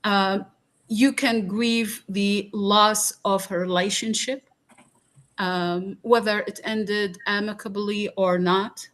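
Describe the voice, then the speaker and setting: A woman from Iraq, a guest on a talk podcast, speaking slowly and earnestly with many pauses and fillers. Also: medium pitch, steady tone, a bit bright.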